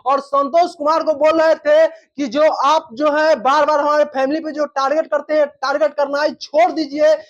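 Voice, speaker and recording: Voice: high-pitched, little pitch movement, normal speed, few pauses. A news presenter giving an informal commentary on viral news in repetitive, wordy phrasing. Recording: dull lo-fi recording.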